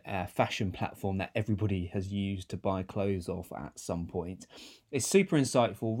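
A man with a slight cold-croak, hosting a casual podcast interview in a chatty, upbeat way with lots of restarts. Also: lowish voice, a little mellow. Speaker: podcast host.

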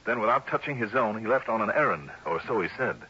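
An American actor playing an earnest, groggy private investigator in a 1960s radio drama, thinking aloud with some hesitation. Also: male voice, lowish voice, expressive, fast talker, bright, forceful tone, breathy edge, heavily acted. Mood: shaken, puzzling. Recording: hissy, dull old radio recording.

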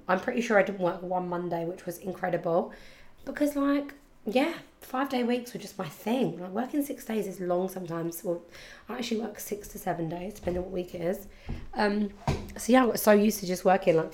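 A young woman with a husky, congested voice from hay fever, chatting casually and rambling to her podcast listeners. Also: mid-range voice, a little fast, a little mellow. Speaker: podcast host. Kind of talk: casual chat.

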